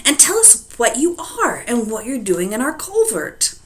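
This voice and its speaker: Kindly storybook cows, protective and urgent, as a woman reading aloud voices them. She lifts her pitch for them. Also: big pitch swings, unhurried. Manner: hushed, urgent.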